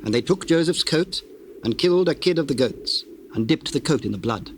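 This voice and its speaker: A man's voice: fairly high pitch, expressive, brisk. A man narrating a dramatized scripture reading in a solemn, storytelling style. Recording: hissy old cassette tape, birdsong behind.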